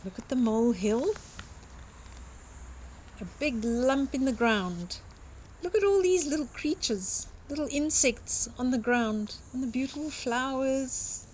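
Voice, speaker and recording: A woman's voice: high voice, expressive, a little slow, darkish tone, a little hiss or hum, low-quality recording. An older woman reading a picture book aloud expressively to young children, with simple explanatory asides. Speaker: children's storytime reader and host.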